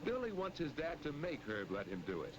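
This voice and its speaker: A man's voice: fairly high pitch, sing-song, a little fast, breathy edge, no echo, highs cut off. A man narrating in the style of a 1940s educational film, on a noisy old film soundtrack.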